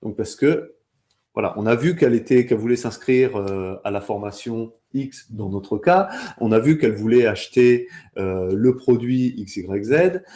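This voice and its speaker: A man working as a sales trainer, explaining step by step in a conversational way, often pausing mid-phrase to rephrase. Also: mid-range voice, warm tone.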